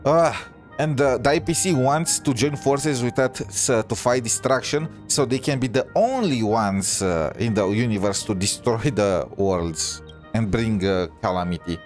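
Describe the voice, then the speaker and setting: A man with a mid-pitched voice comments casually on a game he is playing. Faint music sits behind him as he reacts like a streamer. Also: measured pace.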